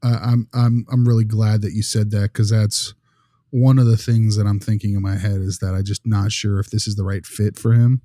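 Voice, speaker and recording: Man playing a friendly consultant with a bright voice. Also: on the low side, flat delivery, normal speed, no echo, studio quality, lightly acted. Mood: friendly, attentive. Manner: polite, probing, affirming. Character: friendly, attentive male consultant interviewing school staff. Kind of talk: naturalistic acted interview dialogue.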